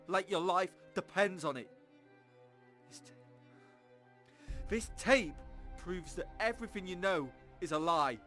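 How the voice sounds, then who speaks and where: An actor playing a desperate, defiant man in an audio drama, with a forceful and bitter manner. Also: a little high. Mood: urgent, desperate.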